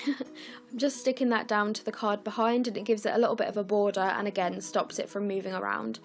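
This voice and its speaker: A young woman from the UK, croaky and scratchy, chatting through her scrapbooking as a casual voice-over, with lots of ums and apologies. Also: mid-range voice, steady tone, a little fast, faint music behind. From United Kingdom. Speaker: scrapbooking vlogger. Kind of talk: casual process video voice-over.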